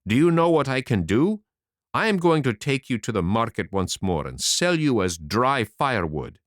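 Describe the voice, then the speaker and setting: A man doing a gruff, stingy buyer. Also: mid-range voice, wildly swooping pitch, a little slow, dry recording, studio quality. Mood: indignant. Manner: gruff, indignant. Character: grumpy stingy grown man, a drum-maker buyer.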